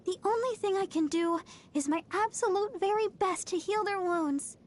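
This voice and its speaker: A woman's voice: very high-pitched, normal speed. A woman playing a sweet, earnest, perky teenage girl idol healer in an anime video game. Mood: sad, earnest.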